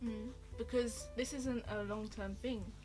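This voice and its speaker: Woman, fairly high pitch, casual co-host, clarifying and restating, faint music. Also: steady tone, a little slow, a little mellow. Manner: chimes in to clarify and restate the co-host's points. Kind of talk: casual chat.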